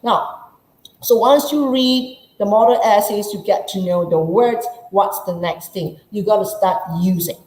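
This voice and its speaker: A woman's voice: medium pitch, a little slow, darkish tone, roomy sound, low-quality recording. A woman English teacher from Malaysia with a Malaysian English accent, giving a chatty workshop lesson and playing out her students' questions.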